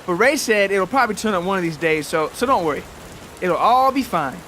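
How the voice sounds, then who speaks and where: Man voicing a timid, high-pitched farm boy. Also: expressive, fairly bright tone, a little hiss or hum. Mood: anxious pleading. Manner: halting, pleading.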